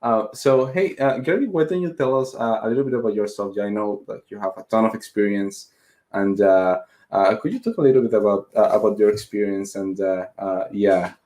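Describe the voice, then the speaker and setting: Hesitant low-voiced young man hosting. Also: fairly even intonation, a little fast, dark tone. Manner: hesitant, many fillers. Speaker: host. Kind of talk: casual interview hosting. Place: small room at home. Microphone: video call.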